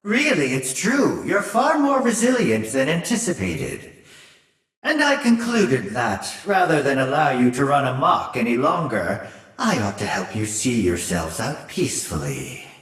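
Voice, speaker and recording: A man playing a sinister, gleefully condescending older mad scientist in a video game, mocking and theatrically polite with drawn-out phrasing. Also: medium pitch, wildly swooping pitch, fairly bright tone. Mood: condescending amusement. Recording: roomy echo, distant from mic.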